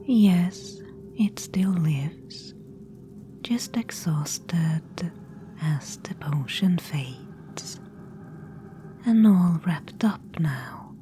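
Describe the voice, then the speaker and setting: Woman whispering as a sinister, very slow spider-woman. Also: lowish voice, expressive. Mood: quietly gloating. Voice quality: breathy whisper. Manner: slow, hushed, deliberate. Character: sinister, patient spider-woman guide, coaxing and predatory. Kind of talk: whispered ASMR fantasy audio roleplay. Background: music.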